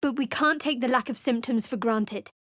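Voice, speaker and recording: An actress playing a guarded, sharp-tongued young adventurer in a video game, speaking in a dry, clipped way. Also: female voice, on the high side, moderate pace, no room sound. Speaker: companion character.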